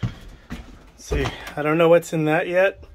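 A man with a rather high voice talks casually as he rummages through a tight wooden shed. He thinks out loud and speaks to viewers now and then. The handheld phone recording carries a faint hiss in the background. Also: slow. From New England. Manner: relaxed, musing aloud, talks to viewers. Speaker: estate sale buyer filming a shed cleanout. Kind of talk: casual running commentary while picking items. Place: cramped wooden shed, indoors. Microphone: handheld phone camera.

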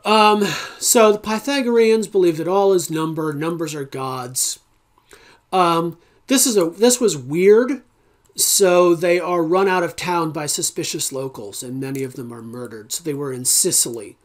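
A middle-aged man gives a recorded philosophy lecture from a book-lined home study, talking into his webcam. He takes his time, pausing often and filling the gaps with ums and uhs as he works his way through each idea. His delivery is unhurried and plainly unscripted. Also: a little high. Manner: unhurried, thinking aloud with many ums and uhs. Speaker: philosophy instructor. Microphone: webcam at desk.

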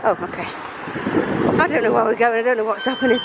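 A woman's voice: a little high, huge pitch swings, fast talker, vocal fry, dull audio, heavy background noise. A woman in her thirties chatting casually and with giggles into a handheld camera while walking outdoors. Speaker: vlogger. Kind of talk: casual outdoor vlog chat. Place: outdoors on countryside footpaths. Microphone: handheld camera at arm's length.

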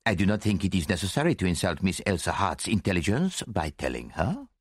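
Fussy polite detective, very animated, mocking, mystery audiobook. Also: male voice, sits low, fairly quick, breathy edge, dry recording, exaggerated voice. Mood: suave mockery. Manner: courteous, mildly reproving, theatrical. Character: theatrical, shrewd male foreign detective, courteous and mocking.

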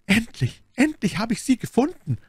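A man's voice: very high voice, wildly swooping pitch, normal speed, slightly rough, no echo, clean studio sound, voice pushed up, exaggerated voice. A man voicing a scheming, effusive noblewoman in a dramatic audiobook. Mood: passionate, reproachful. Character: scheming adult noblewoman, false, intense, gushing.

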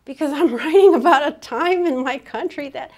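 A woman's voice: sits high, moderate pace. Older woman in an onstage conversation. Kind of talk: casual literary panel conversation. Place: on stage in an auditorium before an audience.

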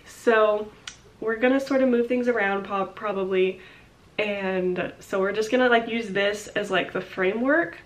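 A woman's voice: mid-range voice, a little slow. Congested young woman rambling in vlog. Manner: rambling, many fillers like "um" and "like". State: congested, short of breath. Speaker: vlogger. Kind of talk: casual vlog chat. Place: small home office, indoors.

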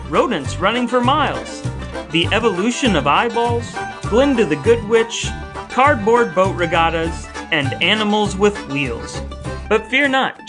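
A man co-hosts a podcast and reads the outro in an upbeat, announcer-like way. His voice is a little high. Music plays clearly beneath him. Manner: upbeat announcer-style sign-off. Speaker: podcast co-host. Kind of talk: casual chat and scripted outro.

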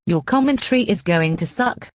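A woman's voice: mid-range voice, animated, moderate pace, dry recording, clean studio sound, natural voice. A synthetic woman's voice playing a bossy, spiteful cartoon villain, delivering a scripted rant in a stilted, scolding way. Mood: scornful, threatening.

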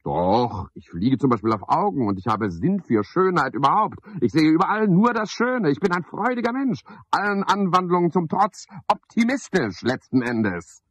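An actor playing a garrulous, pompous, scheming porter in a radio play, wordy and self-important, speaking in rambling clipped phrases. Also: male voice, fairly high pitch, big pitch swings, moderate pace, few pauses, a bit bright, slightly rough, no room sound, heavily acted. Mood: wheedling, effusive. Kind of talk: radio play monologue, theatrical. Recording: dull 1970s radio play recording.

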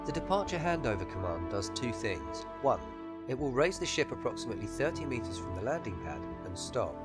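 A man's voice: medium pitch, big pitch swings, fairly quick, over loud music. A man giving scripted instructional narration as a tutorial narrator for a game voice pack. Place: voice-over with music behind.

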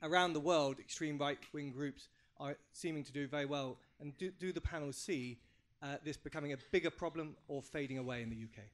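A man speaks from the floor of a conference room into a handheld microphone, his voice a little higher than most. He rambles through a preamble before reaching his question. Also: brisk. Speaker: audience member.

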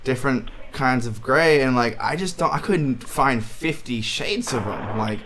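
Young male prank caller, mid-pitched, naive and hesitant delivery, audible background noise. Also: expressive, measured pace, fairly bright tone. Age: 20s. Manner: feigned naive, puzzled, hesitant. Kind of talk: deadpan prank phone call. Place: small indoor studio room.

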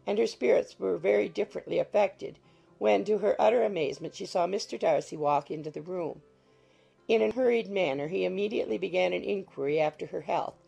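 Very creaky, husky female audiobook narrator. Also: lowish voice, warm tone. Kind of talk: scripted literary narration.

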